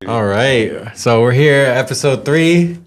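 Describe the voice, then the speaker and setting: A man's voice: medium pitch, lively intonation, slow pace. A man from Michigan hosting a casual podcast, putting short, teasing questions to his guest. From Dearborn, Michigan. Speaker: podcast host.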